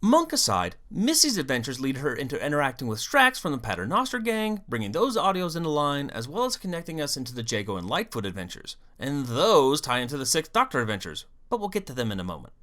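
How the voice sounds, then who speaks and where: A video host delivering scripted fan commentary in an animated, storytelling way with wry asides. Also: mid-range voice, fast, hardly any pauses. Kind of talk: scripted fan commentary on an audio drama series.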